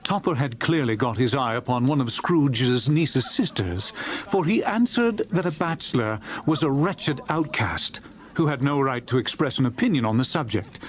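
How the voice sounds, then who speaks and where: A man playing a genial storyteller of a Victorian Christmas tale, narrating with a jovial, relishing, expressive manner. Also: mid-range voice, close to an everyday voice. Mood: amused. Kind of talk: dramatized audiobook narration.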